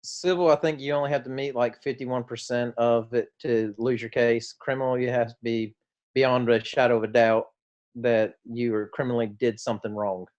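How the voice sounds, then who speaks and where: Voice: mid-range voice, steady tone, normal speed, darkish tone, dull audio. Tentative student answering on a call. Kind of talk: answering in class.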